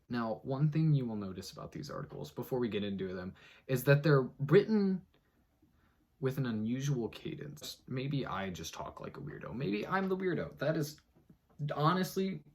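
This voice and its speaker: A man giving a mock-dramatic comic reading of an article, breaking off for rambling asides, jumping between loud and hushed. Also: mid-range voice, breathy edge. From United States. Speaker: host.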